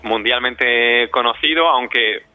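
Man, halting interview guest, frequent pauses, dull lo-fi telephone sound, dry. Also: mid-range voice, no room sound. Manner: halting, with many pauses and searching repetitions. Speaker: interview guest, radio scriptwriter and director. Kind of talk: spontaneous interview answers.

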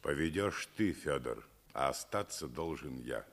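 An actor playing a steadfast, principled wartime commissar, speaking resolutely and deliberately in a 1950s Soviet radio drama. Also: male voice, a bass voice, animated, vocal fry, a bit bright, heavily acted.

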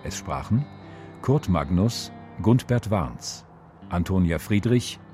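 Deep, mellow male radio announcer. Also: expressive, slow pace, faint music behind. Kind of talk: scripted credits announcement.

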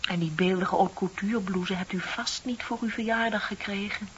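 Actress playing embittered middle-aged female colleague, radio play, emphatic, sardonic, confiding, slightly low-fi hissy recording. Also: steady tone, normal speed, dull audio, close to an everyday voice. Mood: confessing, needling. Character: bitter, lonely middle-aged female office worker, needling a colleague. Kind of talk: Dutch radio play acting.

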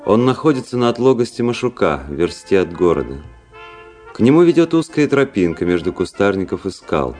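A deep male voice with faint music behind it: a worldly young officer in a radio play. He is measured, ironic and coolly reflective. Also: sing-song, darkish tone. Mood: composed, narrating. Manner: measured, sardonic. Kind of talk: radio drama acting and first-person literary narration.

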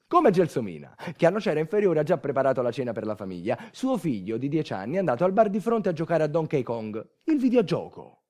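A man performing a theatrical narrative monologue as a storyteller, moving dramatically between quiet asides and urgent delivery. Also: on the high side, sing-song, fast. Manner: dramatic, swinging from hushed asides to loud urgency. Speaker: solo stage storyteller, actor.

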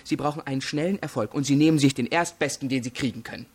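An actor playing an evasive, defensive young student suspected of murder in a radio crime drama, with a husky voice. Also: male voice, medium pitch, fast, darkish tone. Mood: agitated, accusing.

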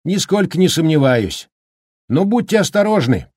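A cheerful middle-aged snowplow driver, put on by a man reading a storybook, pitched well above the reader's own voice and louder than him. He speaks in a brisk, folksy, joking way, with a husky edge carried over from the reader, on a dry close recording. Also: big pitch swings, dry recording. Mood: cheery, chatty.

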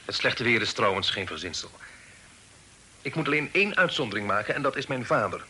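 An actor playing an honest, dutiful young gentleman in a period radio drama, speaking formally and earnestly. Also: medium pitch, animated, brisk. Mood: earnest, principled. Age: young adult. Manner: earnest, formal, sometimes hesitant. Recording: noisy old radio drama recording.

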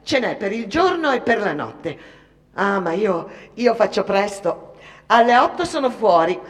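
An actress playing a bold, brash young Italian immigrant factory girl in an animated radio drama monologue. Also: medium pitch, unhurried, big-room sound. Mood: brash, confident. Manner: animated, boastful, mimicking others. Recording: music behind the voice.

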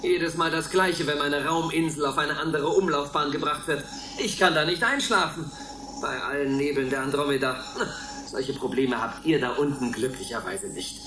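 An actor playing a gushing, patronizing caretaker of a space colony in a satirical science fiction radio play. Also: male voice, a little high, normal speed, echoey room, background noise, natural voice. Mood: gushing, self-pitying. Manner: effusive, patronizing.

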